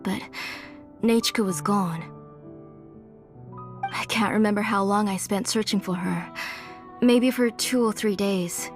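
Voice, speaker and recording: An actress playing a gentle, sorrowful middle-aged mother in a game, speaking softly, with game music behind her. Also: medium pitch, takes it slowly, long pauses, natural voice. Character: gentle, guilt-ridden mother and former smith. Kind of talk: game dialogue. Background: soft game music.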